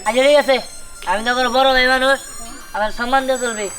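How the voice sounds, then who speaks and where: A woman's voice: sits high, fairly even intonation, fast, a bit bright, soft background music. A woman playing a cocky, boastful village boy in a fairy-tale drama, taunting.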